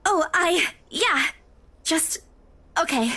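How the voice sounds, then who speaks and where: A woman playing a scatterbrained, friendly young woman in a visual novel game, expressive and flustered. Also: extremely high pitch, slow pace, exaggerated voice. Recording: music behind the voice. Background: game music.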